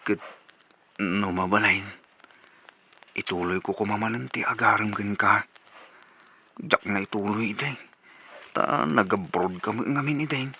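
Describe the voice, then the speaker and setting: A man acting a lovelorn, hapless young suitor in an Ilocano radio comedy drama, with an Ilocano accent and a plaintive, expressive delivery. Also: lowish voice, measured pace, long pauses, breathy edge, heavily acted. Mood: earnest, courting. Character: lovelorn grown son, hapless and eager suitor.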